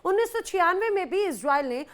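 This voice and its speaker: A woman reading scripted news as an anchor, crisp and formal. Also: very high voice, sing-song, brisk.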